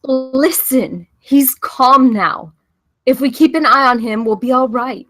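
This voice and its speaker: A woman's voice: sits high, slow pace, fairly bright tone, heavily acted. An actress at a screenplay table read playing Jess, an anxious, devoted woman who speaks in an earnest, pleading, placating way. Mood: persuasive.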